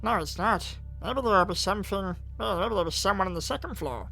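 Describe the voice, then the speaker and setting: A man voicing a calm impostor alien posing as a childhood friend in a visual novel, giving brief agreeable replies. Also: sits high, brisk, vocal fry, faint music behind, full character voice. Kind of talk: visual novel dialogue read aloud.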